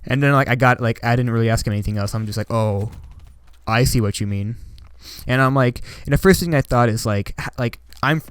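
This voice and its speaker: A man's voice: medium pitch, a little fast, clean tone, no room sound. A young man from Washington State, the channel host, telling a story in a casual, rambling way with lots of "like" and "you know". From Washington State, United States.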